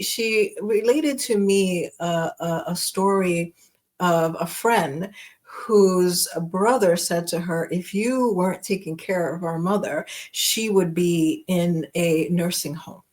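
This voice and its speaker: A middle-aged woman hosting a reflective conversation, with deliberate, gracious phrasing as she searches for the right words. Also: lowish voice, a little mellow. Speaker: podcast host, interviewer.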